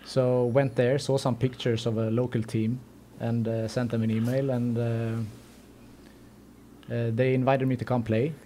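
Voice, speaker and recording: Dark-voiced Swedish man, even delivery. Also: mid-range voice, moderate pace, slight background noise. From Sweden. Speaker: podcast guest. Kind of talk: casual interview answers.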